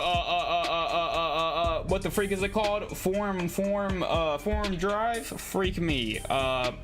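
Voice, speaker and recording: A man livestreaming a visual novel, reading text aloud and commenting in a slangy, jokey way, stumbling over long words. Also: sits high. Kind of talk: live game commentary mixed with reading on-screen text aloud. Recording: music playing behind the voice. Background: music.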